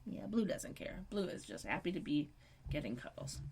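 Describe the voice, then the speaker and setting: Slightly raspy woman with a cough. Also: low-pitched, very animated, moderate pace, a little mellow. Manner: chatty, recaps scenes in detail. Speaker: podcast co-host. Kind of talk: casual recap chat.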